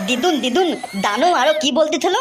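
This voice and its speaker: A woman's voice: high voice, animated, a little fast, a little hiss or hum. A woman voicing a cheeky, indignant small grandson in a comic cartoon, with a childish lisp and babyish repetitive talk. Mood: cheeky, accusing.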